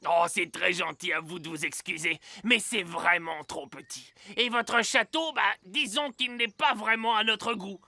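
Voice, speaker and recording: A man's voice: high voice, a little slow, vocal fry, hardly any pauses, sharp tone, no echo, heavily acted. An actor voicing a bashful, lovestruck little male gnome in a cartoon, stammering and earnest. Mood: polite. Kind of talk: French cartoon dub.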